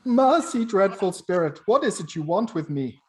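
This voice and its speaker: A man's voice: high voice, very animated, normal speed, darkish tone, a little hiss or hum, pitched above the performer's own voice. A man playing a stubborn, haunted old miser in a radio play, theatrical and exclaiming. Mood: frightened, pleading. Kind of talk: amateur radio play acting.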